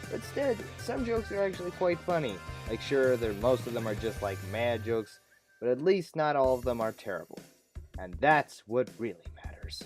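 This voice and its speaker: A man's voice: medium pitch, big pitch swings, normal speed. A man hosting a scripted comedic review countdown, sarcastic and self-deprecating in his delivery. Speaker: host of a video review countdown. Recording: amateur recording with light background music.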